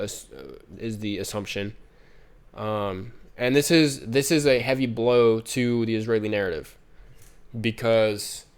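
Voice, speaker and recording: A man's voice: lowish voice, clean tone. Congested man rambling through news. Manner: rambling, many ums and restarts. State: congested, nasal from a cold. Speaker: streamer. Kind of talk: casual news commentary stream.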